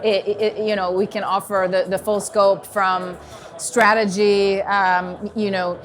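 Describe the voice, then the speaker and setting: American woman consultant, upbeat and fluent, casual filler-heavy interview talk, mid pitch. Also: flat delivery, slow pace. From New York. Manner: fluent, enthusiastic, many "you know" fillers. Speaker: strategy consultant, guest. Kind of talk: conversational interview. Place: busy event venue in a container.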